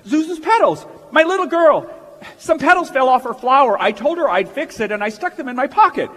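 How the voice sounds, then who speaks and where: A man's voice: very high-pitched, expressive, a little fast, a bit bright. An actor playing a bewildered, agitated family man in a stage radio play, insistent and stammering. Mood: distraught. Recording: reverberant hall stage recording.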